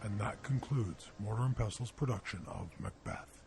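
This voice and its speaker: A male announcer reads scripted copy for a radio drama. His voice is fairly low and gravelly. Faint music plays behind him. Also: moderate pace.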